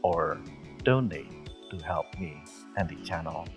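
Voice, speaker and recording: A man's voice: deep voice, expressive, slow pace, over music. A man speaking as a channel host in a casual outro to listeners.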